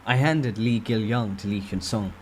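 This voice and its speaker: A man's voice: fairly low pitch, moderate pace, darkish tone, slight background noise. A man reading a novel aloud as a dramatic first-person narrator.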